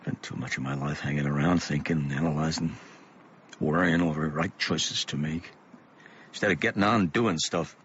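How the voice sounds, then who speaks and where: An actor playing a weary, gentle middle-aged father with a measured, melancholy, reflective way of talking in a radio drama. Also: rumbling low, steady tone. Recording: muffled, noisy radio play recording.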